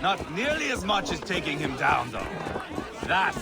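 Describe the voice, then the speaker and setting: A man's voice: fairly high pitch, very animated, normal speed, over a noisy crowd, very noisy. A man playing a crude, mocking, bloodthirsty Viking warrior in a video game, with a taunting, theatrical delivery. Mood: gloating. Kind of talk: video game character acting.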